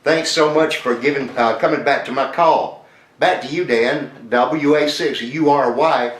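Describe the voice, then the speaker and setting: Male radio amateur, conversational and repetitive, medium pitch, echoey sound. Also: a little slow. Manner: chatty, repeats details, spells phonetically. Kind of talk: conversational ham radio contact. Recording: roomy, echoing ham radio audio.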